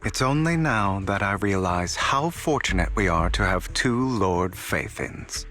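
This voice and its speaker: A man voicing a suave, eloquent young gentleman thief in a theatrical video game style. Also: low-pitched, expressive, unhurried, faint music behind. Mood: smooth, teasing. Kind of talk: dramatic video game voice acting.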